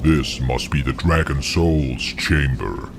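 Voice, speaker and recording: A man's voice: rumbling low, slow, creaky, background noise, exaggerated voice. A man voicing a stern, weary orc, proud and formal, in a fantasy game cutscene. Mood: solemn, wary. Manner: formal, solemn. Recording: music behind the voice.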